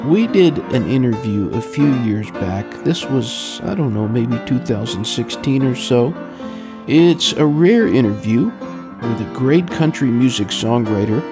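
A man's voice: mid-range voice, lively intonation, a little slow, over music. A man hosting a radio interview show, speaking in a polite, announcer-like way with scripted introductions.

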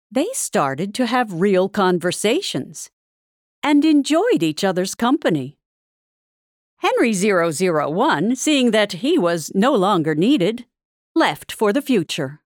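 A woman narrating a futuristic fairy tale in an audio drama, with a measured storybook delivery. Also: medium pitch, no room sound, clean studio sound.

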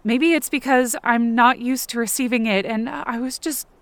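A woman acting an anxious, self-blaming, injured character who narrates her ordeal in short, halting phrases for an audio drama. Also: a little high, steady tone, no room sound, lightly acted. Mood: hurt, regretful. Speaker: fiction podcast performer.

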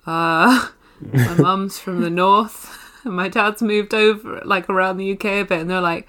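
A woman's voice: medium pitch, unhurried, pushed and bright. A young English woman with a few northern touches in her speech, telling stories through giggles on a podcast. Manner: giggly storytelling. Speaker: podcast co-host. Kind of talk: casual chat.

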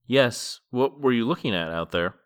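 A man's voice: medium pitch, animated, moderate pace, voice pushed up, close to an everyday voice. A man voicing a weary, plainspoken young man in an audiobook-style reading, keeping his delivery understated. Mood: dazed.

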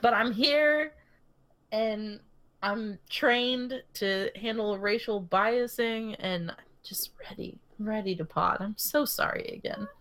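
A young woman chats on a podcast in a middle-pitched voice, rambling through a story and circling back with "like" and half-started sentences. She laughs easily as she talks. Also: a bit bright. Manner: rambling storytelling full of "like" and restarts, laughs often. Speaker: podcast co-host. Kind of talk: casual chat.

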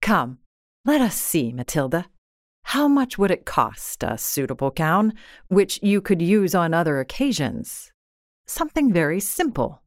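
A woman's voice: fairly low pitch, big pitch swings, unhurried, no echo, clean studio sound. A woman voicing a patient, well-meaning clerk husband in a storybook reading, plain-spoken and coaxing.

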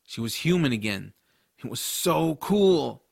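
A man playing a casual, excitable boy hero, telling a fantasy adventure in the first person. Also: medium pitch, unhurried, vocal fry, slightly rough, dry recording, studio quality, heavily acted. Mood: narrating. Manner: casual, boyish phrasing. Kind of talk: first-person audiobook narration and drama.

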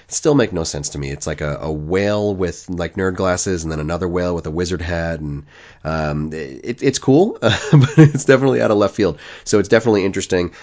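A man's voice: low-pitched, muffled recording. A man in his forties hosting a podcast, talking casually and rambling a bit while stuffed up with a cold. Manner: relaxed, rambling, many fillers and restarts. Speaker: podcast host. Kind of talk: casual solo podcast monologue.

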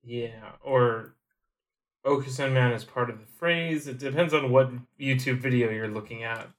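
A man's voice: medium pitch, normal speed. A man co-hosting a podcast, chatting in an easygoing, hesitant way with ums. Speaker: podcast co-host. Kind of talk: casual chat.

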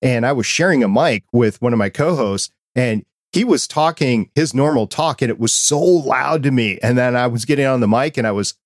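A man with a quiet voice hosting a podcast, asking curious, friendly questions and chatting about microphones into a dynamic mic. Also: medium pitch, moderate pace, few pauses, no room sound. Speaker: podcast host. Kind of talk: interview questions and chat.

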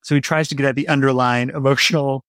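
A man talks as a guest in a conversational interview, thinking as he explains. His voice sits at a middle pitch with a creaky, gravelly grain. The sound is dry, with very little room. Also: steady tone, fast talker, a bit bright, no room sound. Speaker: audio drama writer, interview guest.

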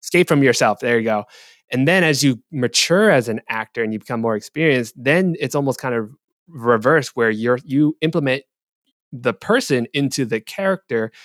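A man's voice: medium pitch, animated, normal speed, a bit bright, no room sound, clean studio sound. A man speaking as a guest on a podcast, talking things through thoughtfully with hedges and restarts. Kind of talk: casual podcast discussion.

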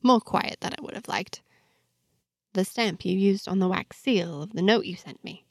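A woman's voice: mid-range voice, moderate pace, vocal fry, darkish tone, dry recording, clean studio sound. A woman voicing a guarded, easily annoyed young heroine who prods for answers in an audio story. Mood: hesitant. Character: guarded, indignant woman, daughter of a powerful father.

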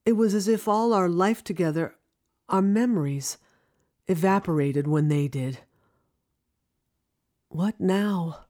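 A woman's voice: lowish voice, takes it slowly, pauses often, warm tone. A woman performing scripted first-person dramatic narration in a reflective, intimate storytelling manner.

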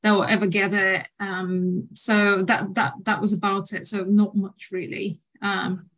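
A woman in her thirties from Bulgaria, a nutritional therapist answering interview questions in a measured way with frequent fillers. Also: medium pitch, level tone, fairly bright tone.

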